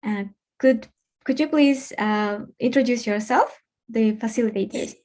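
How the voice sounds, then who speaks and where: A young woman from Kazakhstan hosting an informal online event, speaking haltingly with pauses mid-sentence. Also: a little high, a little mellow. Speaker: event host and facilitator. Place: online video call.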